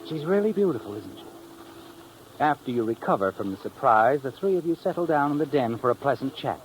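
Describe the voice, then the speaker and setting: A man's voice: medium pitch, expressive, fairly quick, slight background noise, lightly acted, rough recording. An actor playing a suave, knowing male narrator who needles the listener in a 1950s radio suspense drama. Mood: knowing, suspenseful. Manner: knowing, needling, with tag questions. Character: suave, insinuating male narrator addressing the protagonist as "you". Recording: old radio recording with music.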